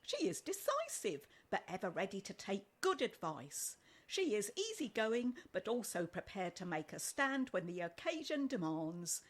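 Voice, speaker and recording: A woman playing a nosy, domineering mother in a radio comedy, husky and deliberate. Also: mid-range voice, huge pitch swings. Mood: deliberate, haughty. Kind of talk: radio comedy play acting.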